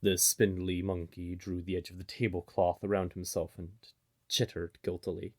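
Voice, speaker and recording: A man narrating a scripted fantasy story in a measured, dramatic way. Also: low voice, little pitch movement.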